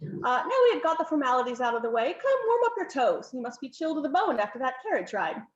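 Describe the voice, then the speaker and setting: A woman doing a bossy, imperious countess. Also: sing-song. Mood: bossy, fussing. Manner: brisk, insistent, high-pitched. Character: bossy aristocratic middle-aged countess, imperious. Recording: roomy, slightly muffled home recording.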